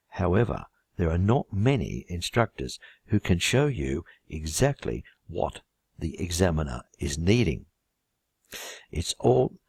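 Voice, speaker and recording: An older Australian man with a husky voice introduces a writing course in a relaxed, conversational way, with self-deprecating humor. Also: sits low, no room sound, clean studio sound. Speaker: IELTS writing teacher.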